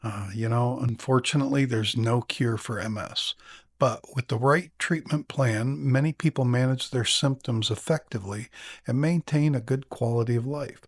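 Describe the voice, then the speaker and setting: A man acts out a male neurologist in a practice consultation. His mid-range voice is calm and attentive, explaining things in measured phrases with small hesitations. The sound is dry, with no room to it. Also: medium pitch, no echo, close to an everyday voice. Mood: serious, explanatory. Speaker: narrator and actor in a listening exam practice. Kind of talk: acted medical consultation for exam practice.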